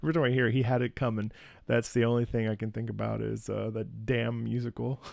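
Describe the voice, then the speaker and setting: A male streamer chats casually as he reacts to a video game. His voice has plenty of fry and sits very dry and close. He is playful and swears freely. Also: mid-range voice, little pitch movement, quick pace, a little mellow, no echo. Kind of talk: casual live stream commentary.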